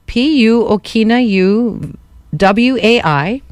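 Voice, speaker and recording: A woman from Hawaii with a local lilt, hosting a casual radio word-of-the-day segment and spelling words out slowly. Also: on the high side, animated, darkish tone, clean tone, no echo. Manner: chatty, explains words slowly and spells them out. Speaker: radio host.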